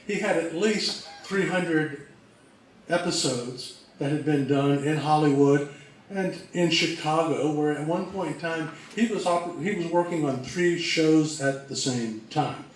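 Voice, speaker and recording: A man presenting an informal history talk in a deliberate, pausing way, from a distance in a very echoey room. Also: a little high, a little hiss or hum.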